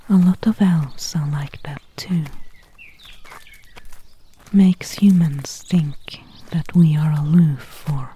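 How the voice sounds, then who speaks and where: A woman playing a gentle, thoughtful elven ranger in an ASMR fantasy roleplay, speaking in a soft, breathy, intimate whisper. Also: deep voice, full character voice. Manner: slow, hushed, intimate, unhurried. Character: gentle, thoughtful female elven ranger, kindly toward a human.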